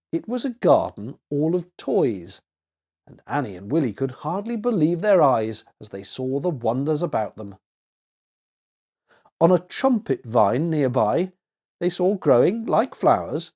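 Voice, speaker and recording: A man narrating a children's story as an expressive storyteller. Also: fairly high pitch.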